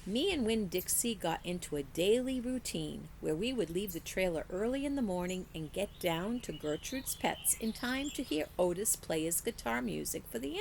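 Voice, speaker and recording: A woman reading a children's story aloud in an expressive storytelling style, like a teacher with a class book. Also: medium pitch, creaky, a little hiss or hum.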